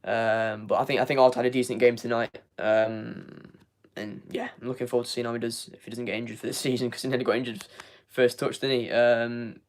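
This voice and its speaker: Young man, hoarse, losing his voice, flat monotone, rambling fan chat. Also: medium pitch, brisk. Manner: rambling, repeats words, many ums. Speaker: fan channel host. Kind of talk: live match review, reading chat.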